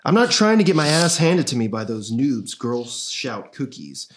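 A man's voice: medium pitch, big pitch swings, normal speed, natural voice. A man voicing a bossy, slangy himbo teenage boy who leads a band in a comedy sketch. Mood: bossy, hyped. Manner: bossy, slangy dude talk.

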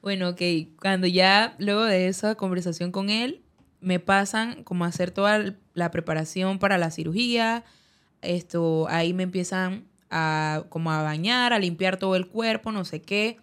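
A woman's voice: on the low side, moderate pace, fairly bright tone, smooth. A woman, a guest in an interview, recounting a personal story in conversation, who acts out the dialogue she quotes. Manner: narrative, acts out quoted dialogue, uses many fillers like "dizque". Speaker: guest telling her story.

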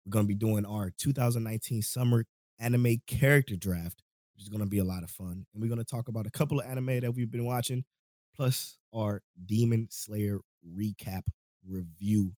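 Male podcast host, lowish voice, relaxed and rambling, slightly worn from a cold, dry close sound. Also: brisk, no echo. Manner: laid-back, rambling, laughs often. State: voice going, catching a cold. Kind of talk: casual podcast chat.

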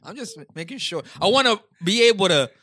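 Man, joking teaser, quick slangy interjections, bright and dry. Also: sits high, animated, no room sound. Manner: teasing, jokey interjections. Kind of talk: casual chat.

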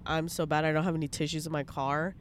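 A woman's voice: sits low, level tone, fast talker, slight background noise. A young woman with a congested voice, chatting bluntly in a casual vlog from her parked car, swearing freely. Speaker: vlogger.

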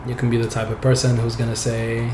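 A young man, a software developer whose first language is not English, chatting casually as a podcast guest in a loose, rambling way with lots of fillers. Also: mid-range voice, quick pace. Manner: relaxed, rambling, laughs often, many "like"s. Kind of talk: casual interview chat. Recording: echoey room with background noise.

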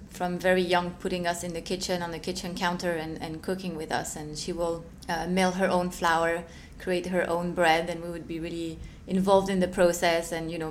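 A young woman from Switzerland, a company co-founder, answering interview questions in a soft, relaxed way. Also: fairly low pitch, slight background noise.

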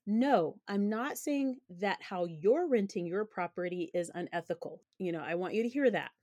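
A woman in her thirties hosting a personal finance podcast, talking candidly and a little hoarse while she recovers from an illness. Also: medium pitch, lively intonation, moderate pace, warm tone, dry recording. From United States. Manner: candid, conversational, opinionated. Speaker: podcast host.

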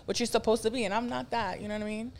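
A woman's voice: fairly high pitch, fairly even intonation, very fast, darkish tone. A woman guest on a podcast, talking candidly and reflectively in casual, slangy chat.

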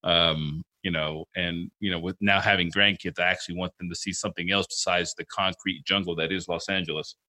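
A man in his fifties co-hosting a relaxed chat, his voice low and bright-edged. He rambles through stories, doubling back on his words. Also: a little fast, hardly any pauses. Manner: rambling, storytelling, many restarts. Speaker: podcast co-host. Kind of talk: casual interview chat.

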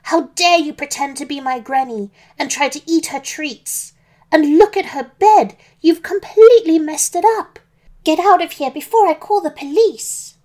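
A woman's voice: high voice, big pitch swings, a little slow, a little mellow, voice pushed up. A woman voicing an outspoken, bossy young girl who prattles frankly in a children's storybook. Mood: angry, scolding. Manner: prattling, frankly curious, scolding when cross.

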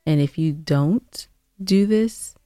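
A woman's voice: low voice, slow, dark tone, dry recording, clean studio sound. A woman co-hosting a casual advice show, earnest and opinionated, spelling out her moral points. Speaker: podcast co-host giving advice. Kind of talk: casual advice discussion with co-host.

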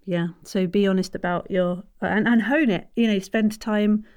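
A woman's voice: mid-range voice, fairly even intonation, normal speed, a little mellow. A woman hosting an interview, asking questions in a friendly way and encouraging her guest with frequent affirming "mm-hmm"s. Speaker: interview host. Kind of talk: conversational interview.

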